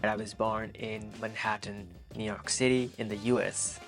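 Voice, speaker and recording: Guy in his 20s from pakistan, earnest and soft-spoken, pretty flat low voice, quiet music underneath. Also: moderate pace. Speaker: vlogger. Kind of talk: casual Q and A monologue.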